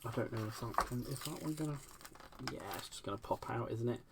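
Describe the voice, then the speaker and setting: A man's voice: mid-range voice, a little fast, heavy background noise. Chatty West Country man crafting live. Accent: West Country English. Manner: chatty, self-deprecating, laughs often. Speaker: craft livestream host. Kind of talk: casual live-stream chat while crafting.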